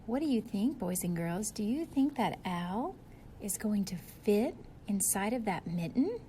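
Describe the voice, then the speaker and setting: A woman's voice: medium pitch, very animated, unhurried, mellow, a little hiss or hum. A woman reading a picture book aloud like a teacher, expressively and with simple asides to young children.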